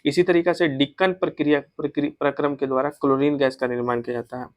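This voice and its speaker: A man's voice: on the high side, level tone, fast, no room sound, studio quality. A man with a Bihari accent giving an informal exam revision lesson, drilling facts by repeating questions and answers and mixing in English technical terms. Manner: repetitive, drilling facts with self-posed questions. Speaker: exam coaching teacher.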